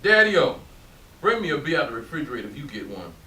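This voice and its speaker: In a roomy, distant recording, a male stage actor plays a blunt working man who loves to tease. His voice sits fairly high and moves around a lot as he banters and swears like a loyal drinking buddy. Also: fast talker. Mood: teasing. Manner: animated, bantering, profane. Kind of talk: stage readers theatre acting. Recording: echoey room, distant mic, faint noise.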